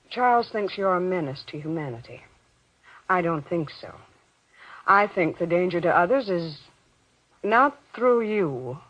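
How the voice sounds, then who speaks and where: A woman playing a clear-headed doctor's wife in golden age radio drama, measured and formal. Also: lowish voice. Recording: dull lo-fi old radio recording.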